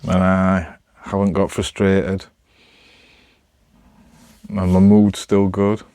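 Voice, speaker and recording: Northern english bloke w a low voice, rambly vlog chat, bit halting, really dry close sound. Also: male voice, level tone, mellow, no room sound. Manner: hesitant, halting, self-deprecating. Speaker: amateur vlogger. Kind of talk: casual rambling chat to camera.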